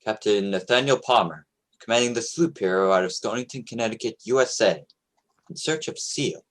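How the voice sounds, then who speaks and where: A man's voice: fairly low pitch, brisk, lightly acted. A man playing a young American sloop captain in a radio play, plain-spoken and commanding, with an American accent. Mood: respectful, confident. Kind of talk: radio play acting, 1940s style.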